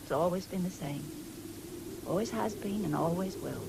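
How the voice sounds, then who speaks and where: A woman's voice: lowish voice, normal speed, gravelly. An actress playing a proud, firm, plain-spoken English farm wife and mother in a radio drama. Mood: bitter, impassioned. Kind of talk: 1970s British radio drama acting. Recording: noisy old radio broadcast recording.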